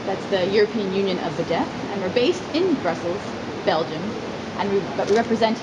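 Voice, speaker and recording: A woman giving a spoken interpretation in a formal interview, in measured, explanatory phrases. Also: medium pitch, highs cut off. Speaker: sign language interpreter voicing an interviewee. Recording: loud steady background noise and hum.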